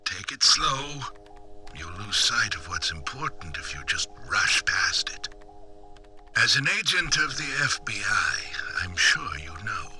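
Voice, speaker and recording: An actor playing an eccentric, knowing businessman in a video game, dramatic and drawn-out, with a rough, breathy voice that often drops to a whisper. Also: on the low side, big pitch swings, sharp tone, faint music behind, full character voice. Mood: grand, lecturing. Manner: dramatic, drawn-out, cryptic, lecturing. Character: eccentric, theatrical, knowing rich businessman informant. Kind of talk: theatrical video game voice acting.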